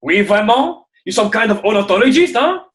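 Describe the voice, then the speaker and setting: A man's voice: high voice, sing-song, brisk, noisy background. A man voicing a proud, grumbling ugly duckling in an irreverent radio play. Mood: indignant. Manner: grumbling, boastful, peppers speech with French. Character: proud, indignant ugly duckling who refuses to be swan.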